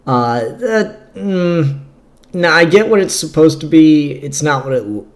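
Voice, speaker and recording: Higher-voiced man reviewing comics in echoey room. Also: lively intonation, measured pace. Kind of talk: casual review commentary, reading comic dialogue aloud.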